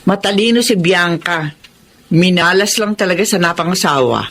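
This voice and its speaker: A woman's voice: on the low side, moderate pace, fairly bright tone. Chatty, gossipy woman. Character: chatty, gossipy, approving family friend. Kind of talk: radio drama acting.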